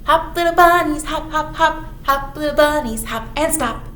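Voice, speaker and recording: A woman's voice: high-pitched, moderate pace, fairly bright tone. A woman leading storytime for young children, reading aloud in a playful, exaggerated way with chatty asides to her little listeners. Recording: roomy echo with background noise.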